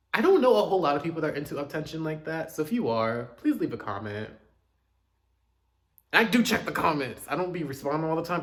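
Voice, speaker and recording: A man casually chatting through his music reviews, chatty and self-deprecating, with joking asides. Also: mid-range voice. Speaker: K-pop reaction host.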